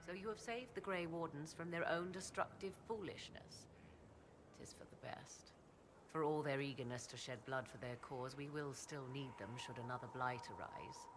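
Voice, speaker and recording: A woman's voice: low voice, normal speed, noisy background, close to an everyday voice. An actress playing a proud, aloof female witch and mother in a fantasy video game cutscene. She speaks in formal, archaic diction with a haughty, dry manner.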